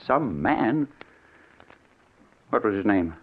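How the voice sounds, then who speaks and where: A man's voice: lowish voice, very animated, slow, exaggerated voice. An actor playing a jumpy, irritable, blustering man in an old-time radio mystery. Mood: indignant, angry. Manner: blustering, hesitant when alarmed, snappish. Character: wealthy, jumpy, irritable man hounded by vengeance. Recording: muffled, tinny old radio recording.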